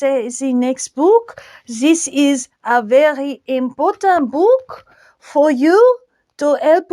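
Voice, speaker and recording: A woman with a French accent hosting a casual book review. She talks in halting phrases, restarts often, and sprinkles in French words. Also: high voice, a little mellow. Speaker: book channel host.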